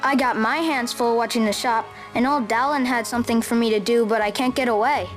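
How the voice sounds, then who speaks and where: A chirpy, high-voiced youngster from a fantasy game, voiced by a woman, eager and informal. Music plays faintly behind the voice. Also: fairly even intonation, fairly quick, lightly acted. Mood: excited. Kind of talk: video game drama acting.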